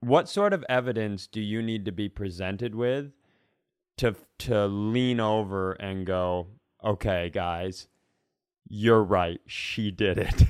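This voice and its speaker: A man's voice: lowish voice, takes it slowly, clean tone, no room sound, clean studio sound. A man telling stories casually on a comedy podcast, rambling and self-mocking, with loose, sweary delivery.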